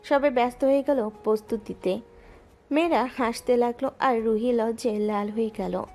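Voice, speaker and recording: A woman narrating a romantic audio story in a smooth, even storytelling voice. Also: on the high side, normal speed. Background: soft background music.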